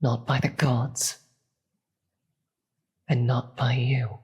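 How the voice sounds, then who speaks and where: A performer voicing a grave, weary demon queen in a dramatic audio roleplay, with a breathy, husky voice and slow, deliberate delivery. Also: mid-range voice, flat delivery, darkish tone, full character voice. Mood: stern, commanding. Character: weary, commanding demon queen, secretly soft-hearted.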